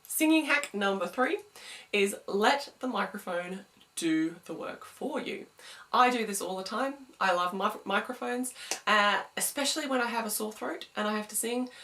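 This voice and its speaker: A young woman with a smooth, bright voice talks through singing tips in a relaxed, chatty way. She stands a little back from the microphone, so her voice sounds slightly distant. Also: medium pitch, moderate pace. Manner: chatty, explanatory, with sung demos. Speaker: singing teacher. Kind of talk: vocal lesson to camera.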